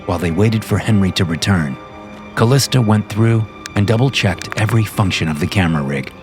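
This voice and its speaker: A man narrating a scripted horror story in a steady, measured way. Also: fairly low pitch. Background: music.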